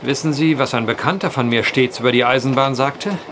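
An actor playing a polite, wry gentleman traveler with old-fashioned, wordy phrasing in a German audio drama. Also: medium pitch, fairly quick, noisy background, natural voice.

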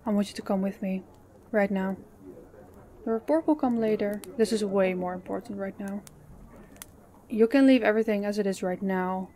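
A woman acting a stern, mellow-voiced female boss. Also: medium pitch, moderate pace, long pauses, lightly acted. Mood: curt, urgent. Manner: authoritative, crisp orders. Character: stern, commanding female company boss, composed under stress. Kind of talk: audio roleplay acting. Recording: faint background hiss.

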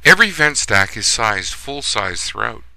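A man giving a scripted plumbing lesson as a voice-over instructor, reading in a measured, steady way. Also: medium pitch, vocal fry, dry recording.

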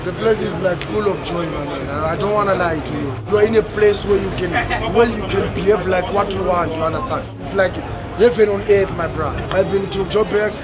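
A man's voice: high-pitched, a little fast. A young man, talking enthusiastically and casually in a street interview outdoors. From Zimbabwe. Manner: enthusiastic, chatty, slangy. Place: busy city street outdoors. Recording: loud crowd and music bed.

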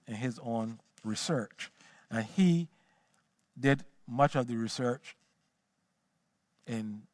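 A man's voice: mid-range voice, dry recording. A man gives a history lecture on a small auditorium stage into a headset mic, often repeating words as he searches for the next phrase. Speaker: guest lecturer.

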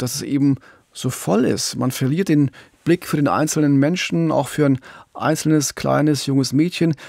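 A man with a creaky voice talks as a true-crime podcast co-host. He explains in a reflective manner, and the sound is close and dry. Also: medium pitch, darkish tone, no echo.

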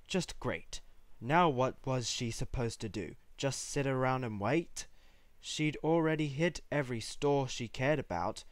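A man's voice: mid-range voice, no echo. A man reading a piece of fiction aloud as a scripted story narration. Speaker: fan fiction reader.

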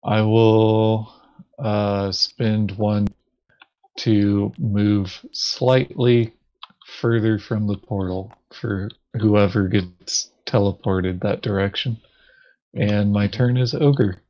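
Very slow-talking man, dull lo-fi recording. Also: on the low side, steady tone. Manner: halting, thinking aloud. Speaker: board game player. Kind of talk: casual tabletop game chat.

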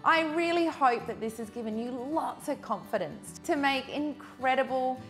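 An enthusiastic woman presents to camera in an upbeat style. Her voice is fairly high and very lively in pitch, with faint music behind it. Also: normal speed. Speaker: cooking series presenter. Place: home kitchen indoors.

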